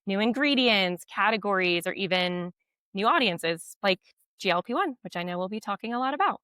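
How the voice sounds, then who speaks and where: Podcast guest, woman, low voice but bright and crisp, dry close mic. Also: animated, brisk, no room sound. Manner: fluent, explanatory, with frequent fillers. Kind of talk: podcast interview.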